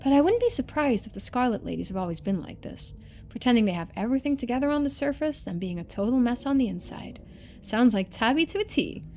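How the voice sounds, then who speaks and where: A woman's voice: a little high, lively intonation, fast talker, mellow, soft background music. A woman voicing a chatty, earnest young video maker from a story game, speaking casually and expressively. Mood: gossipy.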